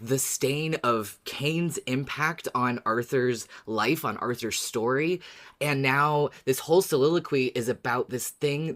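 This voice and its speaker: A woman hosting a podcast, talking in an earnest, emphatic and casual way as she shares appreciative commentary. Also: extremely low pitch. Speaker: podcast host.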